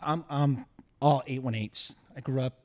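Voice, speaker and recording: A man's voice: medium pitch, unhurried. A man from Peru hosting a podcast, interviewing a guest in casual chat and chiming in eagerly. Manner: eager, chimes in often.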